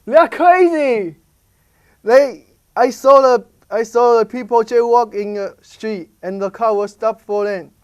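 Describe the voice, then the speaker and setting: A young man from Taiwan, an English speaker, talking in halting English as a student reporter in a chatty news segment. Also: extremely high pitch, clear, resonant tone, muffled recording. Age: young adult. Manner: halting non-native English, excitable.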